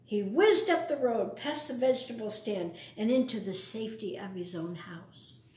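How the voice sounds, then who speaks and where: A woman reading a picture book aloud to children, expressively, pausing for simple explanations and questions to her young listeners. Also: medium pitch, darkish tone. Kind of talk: read-aloud storytime with asides to young listeners. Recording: roomy, echoing sound.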